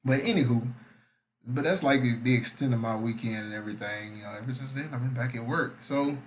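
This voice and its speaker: A young man talks loosely and profanely as a podcast host, drifting through stories in easygoing slang. His voice sits at an ordinary pitch. Faint noise hums under the recording. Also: brisk. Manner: laid-back, slangy, profane. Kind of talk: casual chat.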